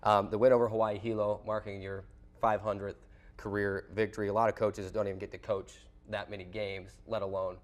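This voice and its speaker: A male sports broadcaster hosts an interview show. His voice is on the low side, and he speaks in a conversational style. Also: little pitch movement, brisk.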